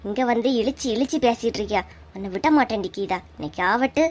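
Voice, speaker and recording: A woman's voice: fairly high pitch, fast, close to an everyday voice. Sly village gossip with a Kanyakumari Tamil accent, acted by a woman. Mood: spiteful. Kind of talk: comic cartoon voice acting.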